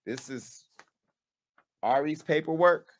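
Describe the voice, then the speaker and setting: A man hosting his own show, delivering a dramatic callout monologue with sass, swinging between hushed asides and loud, punchy bursts. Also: a little high, takes it slowly. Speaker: media company owner and host.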